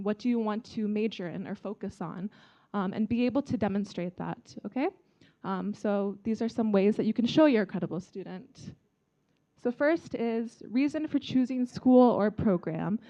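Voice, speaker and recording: Young american lady giving a friendly casual talk to students, soft mellow tone, bit lo-fi sound. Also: fairly high pitch. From China. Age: young adult. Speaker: embassy vice consul presenting. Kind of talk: informal presentation to students. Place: event talk before an audience.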